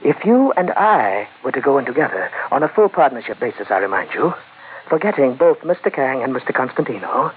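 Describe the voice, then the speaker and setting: A man's voice: mid-range voice, sing-song, natural voice. Man acting a suave crime boss, tinny radio. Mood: polite menace. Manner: formal, polite, measured. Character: suave, calculating male Chinese crime boss. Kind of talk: 1940s radio adventure acting. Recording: tinny old radio recording, faint noise.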